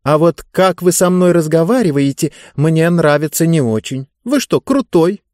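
Man voicing a high-pitched, earnest schoolgirl. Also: expressive, normal speed, darkish tone, no echo, clean studio sound, natural voice. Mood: tentative, thoughtful. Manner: careful, hesitant, courteous. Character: polite, earnest, quick-witted schoolgirl, pleading and bargaining. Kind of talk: audiobook character voice.

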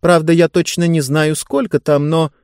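Man voicing a high-pitched, earnest schoolgirl. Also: level tone, normal speed, a little mellow, clear, resonant tone, dry recording, clean studio sound, natural voice. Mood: cautious bargaining. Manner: careful, hesitant, courteous. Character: polite, earnest, quick-witted schoolgirl, pleading and bargaining. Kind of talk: audiobook character voice.

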